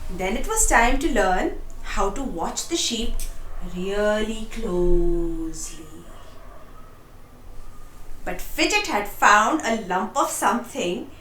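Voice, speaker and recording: A woman narrating a children's storybook in a lively, expressive read-aloud style, addressing young listeners directly. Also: mid-range voice, slow pace, roomy sound, a little hiss or hum, low-quality recording.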